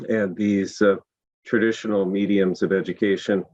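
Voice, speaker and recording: A hoarse man with a low voice speaks on a panel, his voice worn down. His phrasing is measured and polished. The audio is dull and lo-fi. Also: fairly even intonation, darkish tone. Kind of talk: panel discussion.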